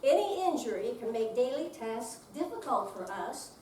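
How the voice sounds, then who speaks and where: Lady acting a patient chipper home care therapist, slow n high, far from mic in echoey room. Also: very animated, mellow. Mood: patient, instructive. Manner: chipper, instructive. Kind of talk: readers theater dramatic reading. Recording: echoey room, distant mic, faint noise.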